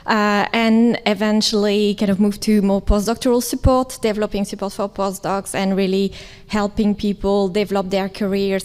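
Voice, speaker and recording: A woman's voice: medium pitch, a little mellow. Upbeat woman panelist in a reverberant hall. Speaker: university research culture manager. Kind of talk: conference panel talk. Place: large conference hall.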